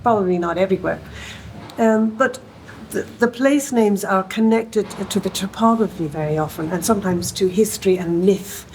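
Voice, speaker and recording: A woman, speaking on a panel in a thoughtful way, with many pauses and fillers. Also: mid-range voice, a little mellow, a little hiss or hum, low-quality recording. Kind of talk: panel discussion.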